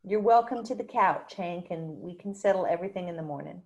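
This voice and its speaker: A woman's voice: fairly low pitch, brisk, highs cut off, natural voice. Woman reading as tough country bar-owner mother. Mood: firm, resigned. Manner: blunt, wry. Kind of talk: screenplay table read.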